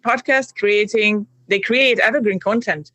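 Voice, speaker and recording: Chatty woman, bright voice. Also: mid-range voice, a little slow, dry recording, low-quality recording. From Hungary. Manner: chatty, laughs often, many fillers and restarts. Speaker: podcast guest. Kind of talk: casual interview talk.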